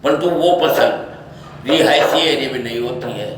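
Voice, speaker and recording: A man giving an unscripted opinion monologue in halting, short phrases, often repeating himself. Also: medium pitch. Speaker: social media speaker giving his opinion. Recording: echoey, distant mic, faint noise.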